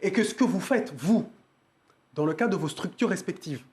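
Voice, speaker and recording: A man's voice: sits high, expressive, moderate pace, a little mellow. A man insisting on his point in a televised debate, repeating himself. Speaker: debate guest.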